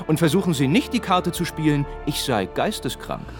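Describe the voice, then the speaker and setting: Actor playing a sardonic, self-assured professor in the dock. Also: male voice, fairly high pitch, animated, normal speed, music in the background, natural voice. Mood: coolly defiant. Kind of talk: German radio play acting.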